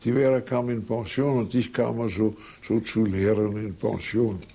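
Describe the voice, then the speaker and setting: A man's voice: on the low side, flat delivery, moderate pace, gravelly, dark tone. An older man from Switzerland whose first language is French, telling his life story in a recorded interview, rambling and anecdotal with frequent hesitations and self-corrections. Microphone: recorded on tape.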